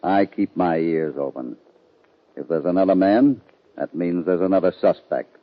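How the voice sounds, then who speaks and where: A man playing a calm, shrewd cowboy hero in 1950s radio western style, measured and authoritative. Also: low-pitched, natural voice. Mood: firm, unruffled. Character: calm, shrewd, fair-minded Old West cowboy hero. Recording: dull vintage 1950 radio recording.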